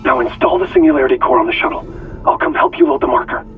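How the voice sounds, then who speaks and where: Game role: haunted male scientist, fervent, wildly varied pitch, creaky edge, heard over a dull transmission. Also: wildly swooping pitch, quick pace, faint music behind, heavily acted. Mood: urgent. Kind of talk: video game voice acting. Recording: muffled radio transmission.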